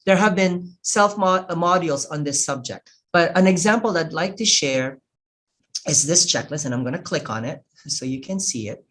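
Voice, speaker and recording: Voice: medium pitch, expressive, a bit bright. Earnest Filipino-born webinar facilitator. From Philippines. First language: Tagalog. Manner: earnest, measured, walks through slides. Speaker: workshop facilitator. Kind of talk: webinar presentation. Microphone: video call.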